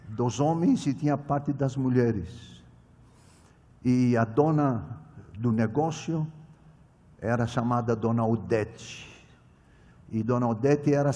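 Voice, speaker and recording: An older male pastor, not a native Portuguese speaker, preaching a sermon with a personal story, swinging between loud proclamation and quiet storytelling. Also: high-pitched, slightly rough. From immigrant to Brazil. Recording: reverberant hall.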